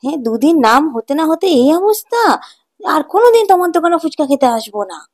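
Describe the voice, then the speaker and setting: Woman playing high, emphatic village customer. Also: sing-song, quick pace, a little mellow. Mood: disgusted, scolding. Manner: exclamatory, repetitive, emphatic. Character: outspoken, excitable village snack-stall customers. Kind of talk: children's cartoon fairy tale.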